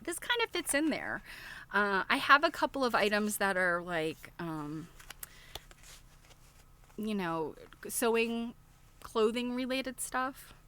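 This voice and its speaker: Bright-voiced woman chatting through an unboxing. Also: mid-range voice, expressive, slow, long pauses, clean tone. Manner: rambling, thinking aloud; chatty show-and-tell, asking viewers questions. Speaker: livestream host. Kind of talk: casual unboxing chat to livestream viewers.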